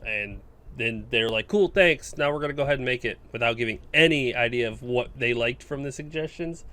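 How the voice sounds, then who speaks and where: Man, mid-pitched voice, casual chat, gaming podcast co-host. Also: fairly quick, pushed and bright.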